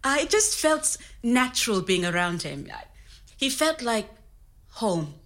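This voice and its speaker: A woman's voice: on the high side, very animated, slow, fairly bright tone, exaggerated voice. An actress playing a frank, creative immigrant woman, telling her story in a natural, conversational way. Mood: tender, reflective. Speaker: actor in a scripted audio story.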